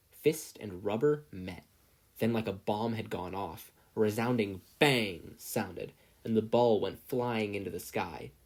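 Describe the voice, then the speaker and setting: A man's voice: on the low side, darkish tone, husky. A man reading a story aloud as scripted audiobook narration. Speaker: podfic reader, narrator.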